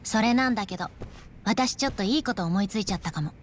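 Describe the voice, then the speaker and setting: A woman's voice: mid-range voice, quick pace, slight background noise, close to an everyday voice. An actress playing a confident young woman in a video game, playful, teasing and self-assured as she talks. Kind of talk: Japanese video game dub acting.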